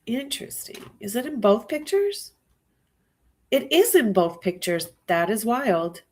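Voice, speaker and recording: A woman's voice: a little high, animated, measured pace, darkish tone. A woman hosting a true crime livestream, musing aloud to her viewers with a stuffy nose. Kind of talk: casual live-stream commentary.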